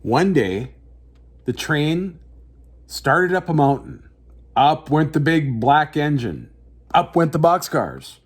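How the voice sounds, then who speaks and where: A man's voice: a little high, very animated, slow pace, pauses often, slightly rough. A man hosting story time, reading a picture book aloud with dramatic phrasing and talking directly to young listeners. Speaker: children's story reader, host.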